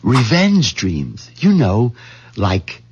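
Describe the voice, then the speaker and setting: An actor playing a meek, put-upon husband, plaintive and colloquial, in an old-time radio drama. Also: male voice, mid-range voice, huge pitch swings, extremely slow, husky, no room sound, full character voice. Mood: weary, confiding. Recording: dull old radio recording.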